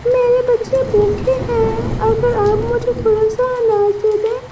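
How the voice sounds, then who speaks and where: A woman's voice: extremely high pitch, measured pace. A woman voicing a small, anxious and polite mother sparrow in a children's cartoon. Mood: pleading. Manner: pleading and deferential. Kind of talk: children's cartoon story acting. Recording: distant voice with steady rain noise.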